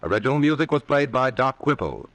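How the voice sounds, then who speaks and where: A man's voice: mid-range voice, animated, fast. A man formally announcing scripted credits in the style of 1940s radio.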